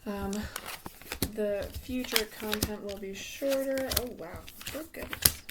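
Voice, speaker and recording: A woman giving a casual tarot reading, losing her voice, with many pauses and ums. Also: medium pitch, heavy background noise. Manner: relaxed, many ums, repeats words. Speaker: tarot reader.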